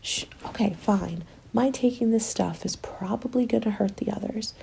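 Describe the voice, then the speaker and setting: A woman playing a cynical, scheming apocalypse survivor in an ASMR roleplay, breathy and often whispering, taunting and self-justifying. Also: on the high side, moderate pace, mellow, a little hiss or hum, poor audio. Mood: defensive, accusing. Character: cynical, defiant woman survivor hoarding food, selfishly scheming.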